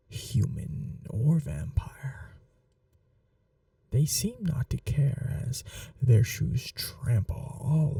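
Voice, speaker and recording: A woman playing an aristocratic, possessive vampire mistress in a teasing, quietly menacing, breathy, intimate ASMR roleplay, often dropping to a whisper. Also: very deep voice, dry recording, recorded from a distance, full character voice. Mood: wistful. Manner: slow, languid, condescendingly affectionate. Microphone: close microphone, dry.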